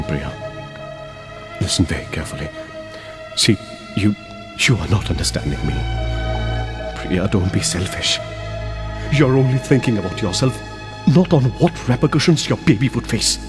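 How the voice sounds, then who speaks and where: An actor playing a young man from India with an Indian English accent, swinging between outbursts and hushed pleading in an audio drama. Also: lowish voice. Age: young adult. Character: young man Raj, pleading with old college love. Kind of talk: amateur audio drama acting.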